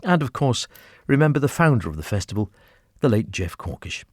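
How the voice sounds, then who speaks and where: A man's voice: medium pitch, very animated, a little fast, a little mellow, no room sound, studio quality. A man hosting a radio arts programme in a conversational presenting and interviewing style. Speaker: radio arts show host.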